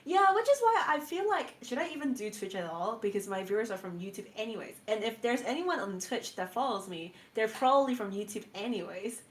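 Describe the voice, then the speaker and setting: A woman's voice: a little high, lively intonation, a little fast, hardly any pauses, slight background noise. A young woman, a native Cantonese speaker with a Cantonese-influenced English accent, chatting casually on a stream with frequent fillers and laughs. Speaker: VTuber guest.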